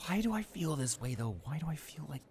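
A man's voice: fairly high pitch, big pitch swings, brisk, a little mellow. A young man playing an arrogant, irritable student bully in an improvised audio roleplay, with a smug, dismissive manner. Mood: conflicted. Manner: smug, dismissive, muttering to himself.

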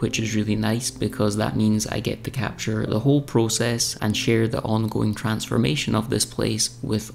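Young male vlog narrator, low gravelly voice, flat even delivery, music bed under it. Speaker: renovation vlogger. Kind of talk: scripted voice-over narration.

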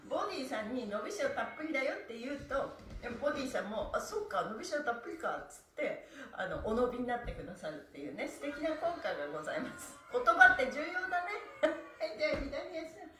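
A woman's voice: on the high side, fairly bright tone, slight background noise. A middle-aged woman leading a live stretch class, cheerful and laughing as she chants the counts. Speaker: stretch instructor. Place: small room at home, on a floor mat. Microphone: far camera. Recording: echoey room, voice from far off.

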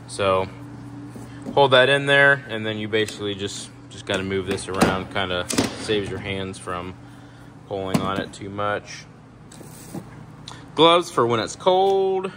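A young man casually walking through his work trailer, talking in a relaxed, rambling way with lots of ums. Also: on the low side, unhurried, pauses often, heavy background noise. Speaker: pressure washing business owner. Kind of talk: casual walkthrough tour. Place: indoor metal trailer, shop garage. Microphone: handheld phone camera.

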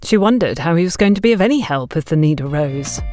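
A woman's voice: low voice, animated, fast talker, loud music behind the voice. A woman reading scripted story narration as an audiobook narrator.

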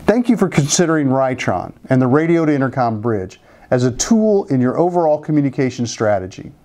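An American man in his fifties giving a scripted product presentation as a company spokesperson, in a measured, businesslike manner. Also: medium pitch, big pitch swings, dark tone. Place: office conference room, indoors. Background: background music.

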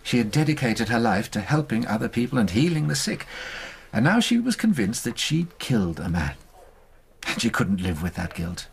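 An actor playing an earnest, compassionate British gentleman doctor in a radio drama, speaking in a measured way. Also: mid-range voice, sing-song, vocal fry, slight background noise. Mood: solemn. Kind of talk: BBC radio drama acting.

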